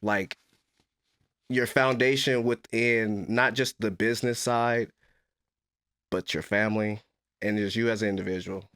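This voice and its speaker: A man's voice: lowish voice, steady tone, a little slow, long pauses, a bit bright, clear, resonant tone, no echo, clean studio sound. A man hosting a casual interview podcast, giving upbeat hype-style introductions with some slang. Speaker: podcast host.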